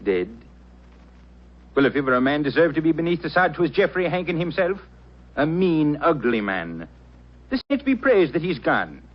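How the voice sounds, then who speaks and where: A frank young Irish waiter in an old radio drama. His voice sits fairly high and lilts along, on a muffled 1940s recording. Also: very animated, normal speed, pauses often. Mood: blunt, unsurprised. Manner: lilting, plain-spoken. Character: young Irish inn waiter, singing, blunt and unabashed. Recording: dull, band-limited vintage radio recording.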